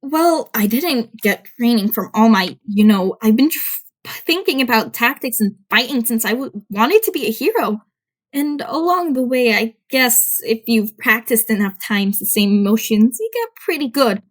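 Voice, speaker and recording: Woman voicing an evasive teenage boy, highish voice. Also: lively intonation, measured pace. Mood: defensive, explaining. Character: teenage boy hero student, evasive and self-justifying. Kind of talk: fan fiction audiobook character voice.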